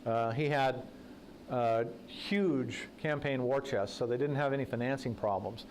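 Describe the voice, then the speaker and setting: A man's voice: mid-range voice, a little slow, darkish tone, a little hiss or hum, poor audio. A man who is a political journalist, giving a conversational talk to a breakfast audience in a folksy, anecdotal way with joking asides. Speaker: guest speaker, political journalist. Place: breakfast event room.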